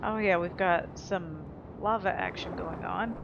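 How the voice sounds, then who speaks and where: A woman's voice: lowish voice, unhurried, gravelly, muffled recording, background noise. A woman hosting a gaming channel, giving casual, playful let's-play commentary with a wry sense of humor. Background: game music and sounds.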